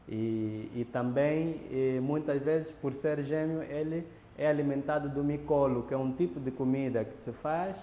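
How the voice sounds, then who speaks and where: A man with an Angolan Portuguese accent explaining a ritual in a documentary interview, measured and full of fillers and restarts. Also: mid-range voice, steady tone, mellow, dull audio. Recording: reverberant room, faint background noise.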